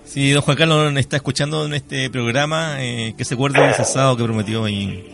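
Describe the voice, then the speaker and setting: A man's voice: mid-range voice, fairly even intonation, brisk, creaky, music in the background. A man with a Chilean accent hosting a live radio interview, addressing his guest in a courteous, formal way. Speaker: radio host.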